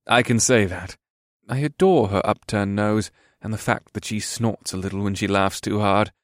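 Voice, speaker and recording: A proud elf warrior, read by a male narrator talks with deadly calm and no emotion. The voice is on the low side and recorded dry, in a fantasy storybook style. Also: moderate pace, dry recording, natural voice. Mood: calm resolve.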